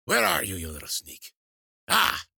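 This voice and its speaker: A man voicing a crazy old witch woman in an audiobook, a gleeful, crude bully who taunts and cackles loudly. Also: mid-range voice, wildly swooping pitch, normal speed, vocal fry, slightly rough, dry recording, clean studio sound, pitched above the performer's own voice, full character voice. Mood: irritated searching.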